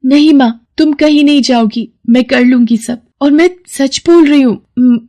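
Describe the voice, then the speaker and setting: A woman voicing an earnest, polite and eager young working girl in an animated children's story. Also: high-pitched, moderate pace, lightly acted. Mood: insistent. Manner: respectful, earnest.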